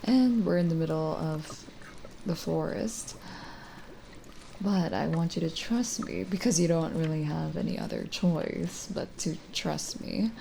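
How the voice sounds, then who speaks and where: A woman playing a lonely, kindly witch in an ASMR roleplay, speaking gently and coaxingly with hesitant pauses and drifts into whispering. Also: low voice, vocal fry, a little hiss or hum. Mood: reassuring. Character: lonely, kindly forest witch, soothing and hospitable. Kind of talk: ASMR roleplay audio drama.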